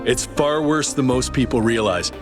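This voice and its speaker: Game role: earnest robot campaigner played by a man, medium-pitched, courteous yet urgent, music playing behind. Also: normal speed, natural voice. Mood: earnest, concerned. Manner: earnest, persuasive, polite. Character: earnest male android citizen activist, politely urgent. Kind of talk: video game dialogue.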